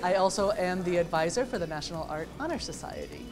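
A woman's voice: fairly low pitch, animated, fast talker, a crowd in the background. A woman in her forties, an art teacher who grew up in New York City with Estonian as her first language, talking in a friendly, chatty way in a classroom. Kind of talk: interview, casual talk to camera.

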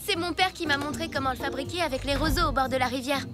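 A woman's voice: high-pitched, quick pace, close to an everyday voice. A woman voicing a confident, slightly bossy young girl in a children's cartoon, chiding and matter-of-fact. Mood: annoyed. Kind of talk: French children's cartoon voice acting. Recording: loud music behind the voice.

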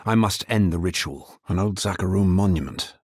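An actor playing a grim, dutiful necromancer adventurer in a video game, with a grave, measured, terse delivery and a husky voice. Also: male voice, deep voice, darkish tone, dry recording, clean studio sound. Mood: grave, watchful. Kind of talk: video game character voice lines.

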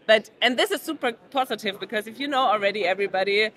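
A woman's voice: mid-range voice, quick pace, fairly bright tone. A woman in her thirties hosting a podcast interview in a casual, chatty way, leaning on words like "super" and "like". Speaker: podcast host. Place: busy indoor conference venue. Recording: conference crowd chatter behind.

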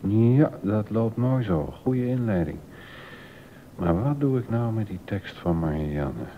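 An absorbed middle-aged composer in a radio drama, murmuring through his work and correcting himself. His voice is low and slow, and the recording is dull with rumbling noise. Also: lively intonation. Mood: pensive, working. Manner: halting, self-correcting, musing. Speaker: actor in a docudrama. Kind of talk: Dutch radio drama acting, thinking aloud.

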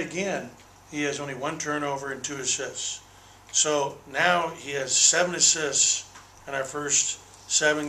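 A man's voice: mid-range voice, sharp tone, slight background noise. A man in his sixties, a basketball head coach, giving candid, detailed postgame answers at a table microphone in a small press room. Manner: candid, detailed, repeats words when restarting. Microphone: table microphone on a desk. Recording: roomy echo.

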